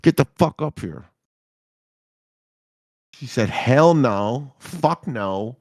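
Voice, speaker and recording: A man in his thirties co-hosting a podcast, rambling loudly and swearing through casual banter. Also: medium pitch, expressive, drawn-out words, dry recording, clean studio sound. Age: 30s. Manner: loud, rambling, swearing, jokey. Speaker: podcast co-host.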